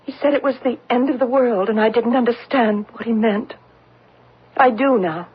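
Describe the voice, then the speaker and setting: A woman playing a lonely, fearful, persistently pleading wife in a radio drama, with a husky voice. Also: a little high, normal speed. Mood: regretful, pleading. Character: lonely, fearful, wounded wife of an overbearing businessman. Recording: dull lo-fi radio broadcast recording.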